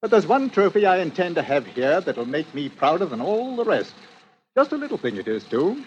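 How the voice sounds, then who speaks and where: Genial macabre inspector, chatty and hesitant, very swooping pitch, old radio suspense, dull lo-fi recording. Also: male voice, very animated, moderate pace, slight background noise. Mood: genial, boastful. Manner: chatty, hesitant, relishing gruesome detail. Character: proud, genial British police chief inspector with macabre hobby. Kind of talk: 1940s radio suspense acting.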